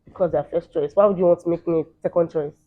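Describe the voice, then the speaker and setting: A Nigerian man in the entertainment field giving quick, emphatic opinions in a casual interview. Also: fairly high pitch, steady tone. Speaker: guest, entertainer. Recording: thin phone-like sound.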